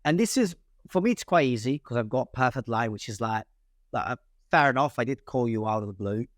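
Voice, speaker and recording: A man with an Italian accent, a native Italian speaker, explaining sales calls as a guest in a casual interview, animated and quick with many restarts. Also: mid-range voice, no echo, studio quality. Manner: animated, talks fast with frequent restarts.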